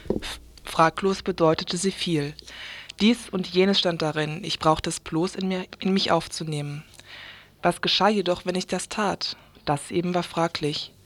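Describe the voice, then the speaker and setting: A woman's voice: on the low side, steady tone, measured pace. A woman reading a first-person short story aloud.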